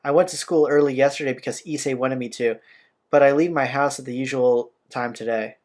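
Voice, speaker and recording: A man's voice: mid-range voice, steady tone, a little fast, a little mellow. A man hosting a let's play, reading game narration aloud with casual asides. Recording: game music behind the voice.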